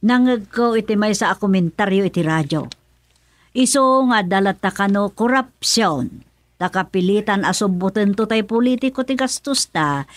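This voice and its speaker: A woman's voice: fairly high pitch, dry recording, close to an everyday voice. An actress playing a worried, nagging middle-aged mother in a radio serial, emphatic, with an Ilocano accent. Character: worried, nagging mother, older than her son. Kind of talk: radio serial drama acting.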